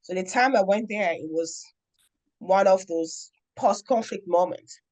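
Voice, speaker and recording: A middle-aged woman from the Democratic Republic of Congo, telling a story as a guest in an interview in an earnest, deliberate way with many pauses. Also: mid-range voice, darkish tone, low-quality recording. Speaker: guest, nonprofit founder.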